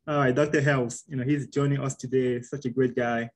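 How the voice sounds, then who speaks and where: Relaxed young man hosting a webinar. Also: medium pitch, brisk. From Cameroon, raised in Baltimore. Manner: relaxed, friendly, frequent fillers. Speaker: webinar host, medical resident. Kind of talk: casual moderated webinar.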